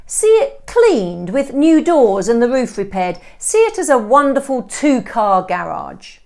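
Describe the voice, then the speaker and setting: Storybook estate agent, man played by a woman reader, chummy, pushy sales patter, lifted pitch. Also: very animated, a little slow, a little mellow. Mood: selling, enthusiastic. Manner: salesman-like, coaxing.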